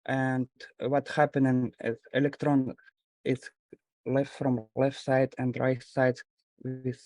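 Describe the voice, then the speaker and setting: A man with a Kazakh accent speaks as a startup founder in a pitch session. His non-native English is halting and broken, in a mid-range voice with a dry sound free of room echo. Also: little pitch movement, darkish tone. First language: not English.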